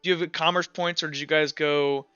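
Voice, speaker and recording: Male game master, higher-pitched and clear, halting casual narration, slightly muffled audio with music underneath. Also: fairly even intonation, bright, forceful tone, no echo. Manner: informal, halting and conversational.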